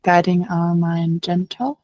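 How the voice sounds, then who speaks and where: A woman leading a guided meditation as a teacher, speaking gently and unhurriedly with long pauses. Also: fairly low pitch, completely flat delivery, dry recording, lo-fi sound.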